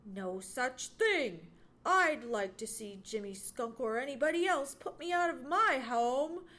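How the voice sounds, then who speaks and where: Storybook woodchuck lad, played by a woman: proud, stubborn, carefree, emphatic delivery, lively high voice. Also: takes it slowly. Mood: scornful. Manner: emphatic, scornful, self-assured. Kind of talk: children's animal storybook character voice.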